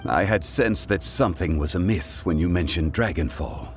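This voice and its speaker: A man's voice: sits low, moderate pace, soft background music. A man voicing a composed, stern ancient god in human form for a video game, formal and dignified. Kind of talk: dramatic video game acting.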